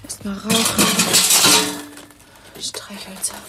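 An actor playing a dazed lone survivor in a radio play, muttering a monologue in short fragments. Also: male voice, high-pitched, flat delivery, whispered. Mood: dazed, searching. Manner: muttering, clipped fragments with pauses. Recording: heavy background noise, off-mic.

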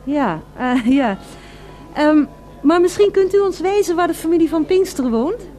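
A woman's voice: very high-pitched, lively intonation, normal speed, warm tone, faint music behind. An actress playing an eager, polite female radio reporter in a radio drama, with formal, florid and emphatic delivery. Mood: polite, flustered.

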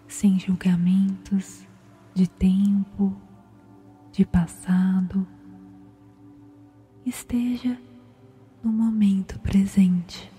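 A woman with a Brazilian accent softly whispers a guided sleep meditation in a slow, soothing, gentle manner. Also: mid-range voice. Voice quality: breathy, whispery. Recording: music behind the voice. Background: meditation music.

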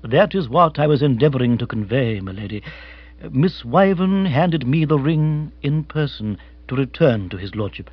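A man's voice: mid-range voice, sing-song, moderate pace, slightly rough, highs cut off, voice pushed down. A man voicing an unflappable, formal English manservant in a storybook, very polite, precise and wordy. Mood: measured, deferential. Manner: stately, circumlocutory, very polite.

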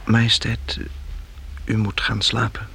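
A man's voice: fairly low pitch, monotone, slow pace, gravelly, bright, forceful tone, slight background noise, heavily acted. A man playing an earnest, modest young man in a radio play, speaking hesitantly and informally. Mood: shy, earnest.

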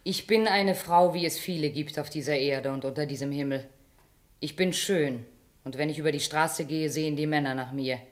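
A woman's voice: low-pitched, normal speed, lightly acted. An actress playing a beautiful, doomed woman who speaks in an intense, distant, compelling way. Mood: despairing.

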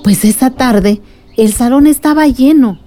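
A woman's voice: high-pitched, measured pace, mellow, soft background music. A woman playing a reflective older storyteller recounting village memories in a radio drama. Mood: reflective, glad.

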